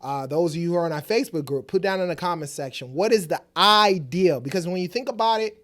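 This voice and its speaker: Higher-pitched man in casual conversation. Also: fast, fairly bright tone. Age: late 30s. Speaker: co-host of a couple's family vlog.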